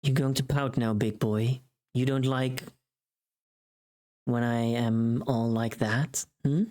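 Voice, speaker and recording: Female streamer, deep-voiced and leisurely, for playful, casual, teasing live chat. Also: fairly even intonation, slow, mellow. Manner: swears casually.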